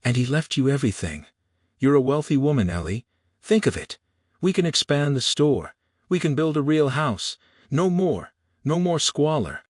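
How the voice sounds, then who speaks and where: A man's voice: a little high, very animated, moderate pace, no room sound, clean studio sound, voice pushed up. A man voicing a sneering, pompous, cowardly shopkeeper in an Old West audiobook, indignant and flustered. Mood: condescending, greedy.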